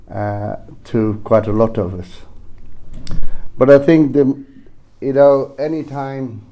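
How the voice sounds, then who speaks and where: A man with a Fijian accent gives an unscripted personal testimony to a group, halting and often correcting himself as he goes. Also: mid-range voice, warm tone, low-quality recording. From Fiji. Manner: halting, with repeated self-corrections. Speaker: speaker sharing his story at a recovery meeting.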